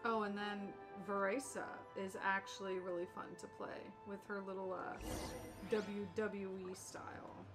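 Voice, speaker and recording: A young woman streams a game with casual, chatty commentary. Her voice sits at a middle pitch, and she is opinionated and slangy, with music clearly playing underneath. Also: little pitch movement, measured pace. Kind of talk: casual live gaming commentary.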